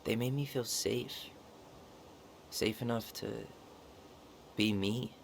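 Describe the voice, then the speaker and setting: A man's voice: mid-range voice, slow pace. A man acting an earnest, wry young American man in naturalistic, understated video game dialogue. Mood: reflective. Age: young adult. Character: earnest, dryly joking young man, passionate about wildlife. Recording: game stream with clear background noise.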